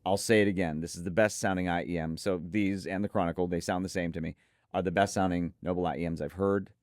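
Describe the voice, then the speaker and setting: Man, low pitch, dry sound, effusive reviewer, emphatic repetition, enthusiastic co-host delivery. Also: steady tone, fast, no echo. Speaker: audio gear reviewer and co-host. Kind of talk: enthusiastic product preview talk.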